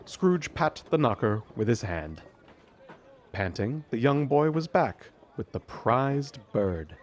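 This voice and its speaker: A man narrating a storybook audio drama in a measured storytelling manner. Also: mid-range voice, very animated, slight background noise. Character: omniscient storybook narrator of a Christmas tale.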